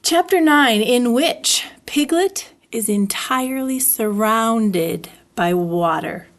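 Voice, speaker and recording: A woman reading a children's storybook aloud as a narrator, with expressive, deliberate phrasing. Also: fairly high pitch. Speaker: library story reader.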